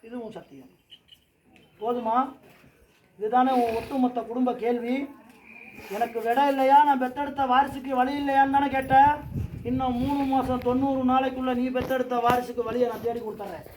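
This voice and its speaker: A man with a strikingly high voice delivers oracle pronouncements as a possessed ritual medium. He speaks in commanding, chant-like repeated phrases, pressing again and again for agreement and at times rising to a shout. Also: flat delivery, brisk, a little hiss or hum, rough recording. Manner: commanding, repeating short demands for assent.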